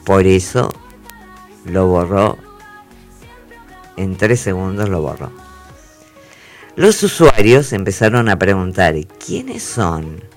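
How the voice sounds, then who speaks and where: Radio guy, playful chatty host, slow and bouncy delivery. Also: low-pitched, big pitch swings, soft background music. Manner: playful, drawn-out storytelling with asides. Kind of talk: chatty news segment.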